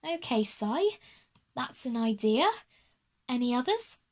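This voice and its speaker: A practical schoolgirl with a high voice takes charge of her classmates in a children's audio drama, played by a woman. She is businesslike and organised. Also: lively intonation, takes it slowly. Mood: sceptical.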